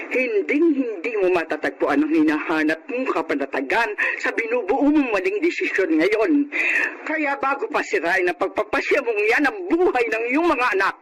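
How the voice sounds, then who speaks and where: A man's voice: high voice, very animated, normal speed, a bit bright, rough recording. A man playing a stern older sister in a Filipino melodrama, scolding in an emphatic, reproachful way.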